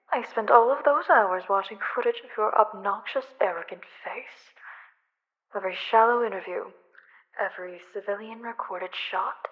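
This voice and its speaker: A woman playing a theatrical, obsessive female villain who taunts in a drawn-out, dramatic way in an audio roleplay. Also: a little high, dull audio, close to an everyday voice. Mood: scornful, bitter.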